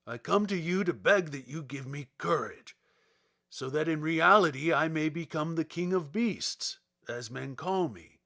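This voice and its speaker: A man's voice: a little high, expressive, a little slow, bright, forceful tone, full character voice. A man voicing a cowardly, blustering lion in a storybook reading, with emphatic, theatrical delivery. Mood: pleading. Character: cowardly lion, blustering, boastful yet afraid.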